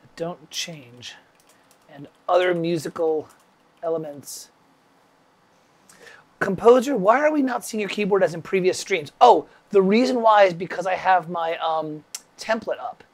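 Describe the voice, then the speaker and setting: A man's voice: sits high, animated, unhurried, long pauses. A man with a stuffy summer cold, teaching music composition on a casual livestream, rambling and chatting with viewers by name.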